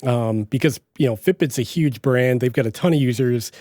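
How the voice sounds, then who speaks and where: A man's voice: mid-range voice, normal speed, warm tone, no room sound, clean studio sound. A young man with a slight Boston accent, hosting a solo podcast in a chatty, rambling way with frequent self-corrections. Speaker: podcast host. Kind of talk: casual solo podcast monologue.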